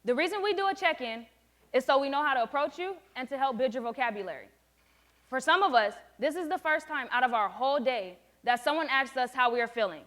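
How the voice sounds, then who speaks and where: A woman's voice: high-pitched, animated, fast, fairly bright tone. A young woman in her late teens giving a senior presentation and role-playing a group facilitator, explaining the rules firmly and in a lecturing tone. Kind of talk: student presentation with a scripted role-play.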